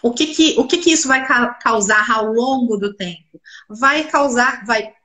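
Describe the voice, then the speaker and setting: A woman's voice: on the high side, unhurried, bright, forceful tone. A woman speech therapist, congested a cold, explaining things in an interview and answering her own rhetorical questions. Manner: asks rhetorical questions and answers them herself. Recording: roomy echoey room sound.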